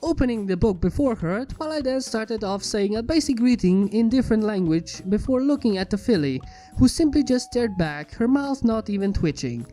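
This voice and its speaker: A woman's voice: fairly high pitch, expressive, normal speed, warm tone, poor audio. A woman reading a scripted fan fiction story as its narrator. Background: background music.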